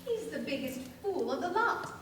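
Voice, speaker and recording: A woman's voice: high-pitched, sing-song, normal speed, a bit bright. A woman playing a bossy, neurotic, man-scorning older cousin in a staged radio play, with theatrical delivery. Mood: scornful. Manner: theatrical, declamatory. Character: bossy, neurotic, man-hating busybody spinster-like divorcee cousin. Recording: echoey hall, distant mics, faint noise.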